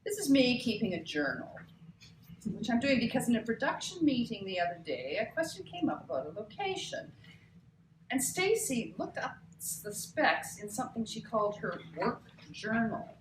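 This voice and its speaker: A woman performs as a prickly, proud older female TV boss in a table read. Her voice is highish and swoops with sardonic emphasis, heard in a roomy, slightly noisy space. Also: big pitch swings, normal speed. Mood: scornful, wounded pride. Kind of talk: live table read of a novel. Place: cramped bookstore event, live. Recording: roomy live bookshop recording, background noise.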